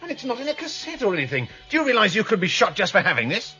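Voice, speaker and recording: An actor playing a nosy, alarmist friend with a British accent in a 1970s radio drama, chummy and melodramatic. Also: male voice, high voice, huge pitch swings, racing, pushed and bright, husky, full character voice. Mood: alarmed. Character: nervy, nosy, alarmist male citizen friend. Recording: dull radio recording, faint music.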